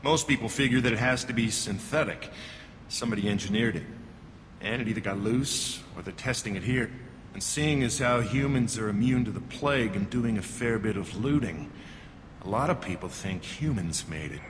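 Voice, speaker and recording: An actor playing a gruff, cynical alien checkpoint guard in a game, talking in a dry, curt and dismissive way. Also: male voice, lowish voice, moderate pace, lightly acted. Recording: reverberant, with loud background noise.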